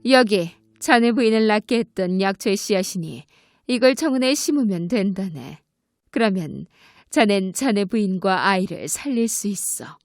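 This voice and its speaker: A woman's voice: mid-range voice, lively intonation, normal speed, dry recording, clean studio sound, soft background music, pitched below the performer's own voice, natural voice. A woman voicing a wicked, haughty witch in a storybook tale, imperious as she questions, commands and bargains. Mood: coolly commanding.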